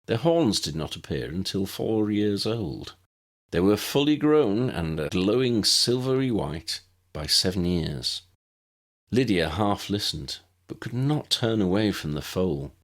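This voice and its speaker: Male audiobook narrator, very low pitch, animated intonation, scripted storytelling. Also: unhurried.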